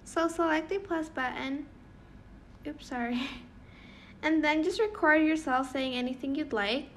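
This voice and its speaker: A young woman speaks in a high voice at an unhurried, slow pace. She hosts an informal how-to, a bit giggly and unsure of her script. There is faint noise behind her. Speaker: tutorial channel host. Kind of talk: casual spoken phone-app tutorial.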